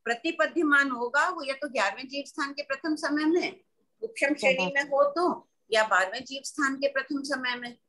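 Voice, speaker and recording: Middle-aged lady teacher, bright highish voice, patient step by step explaining. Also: normal speed. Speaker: religious scripture teacher. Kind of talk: explaining scripture in class.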